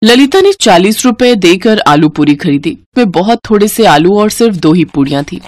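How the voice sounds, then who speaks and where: A woman narrating a scripted moral story in an expressive storytelling manner. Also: mid-range voice, moderate pace.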